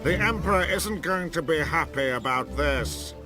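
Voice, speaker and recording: An actor playing a haughty, scornful imperial envoy in a game cutscene, sneering and condescending. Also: male voice, on the high side, animated, normal speed, lightly acted. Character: haughty scornful imperial brother, adult man. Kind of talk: video game cutscene acting. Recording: game audio with music behind.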